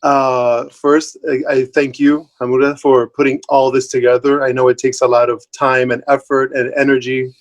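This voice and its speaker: A man's voice: mid-range voice, level tone, moderate pace, hardly any pauses. A man from Puerto Rico whose first language is Spanish, giving an informal talk over a video call in a friendly, loose way. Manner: friendly, loose, many fillers and restarts. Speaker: guest speaker. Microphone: online video call.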